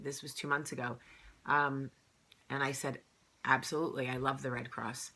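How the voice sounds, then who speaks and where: A woman's voice: a bass voice, sharp tone. A woman hosting her own vlog, talking straight to the camera in a casual, earnest way, stressing her points and often saying "um". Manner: earnest, emphatic, repeats phrases, many "um"s. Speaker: vlog host. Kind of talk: casual direct-to-camera talk.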